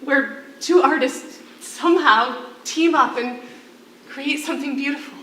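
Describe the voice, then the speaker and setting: Woman acting a high-voiced, passionate imprisoned songbird. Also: unhurried, pushed and bright. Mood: hopeful, coaxing. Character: earnest, passionate imprisoned female singer, idealistic and persistent. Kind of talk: theatrical stage acting. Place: theatre stage, miked from a distance. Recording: echoey, slightly noisy distant stage recording.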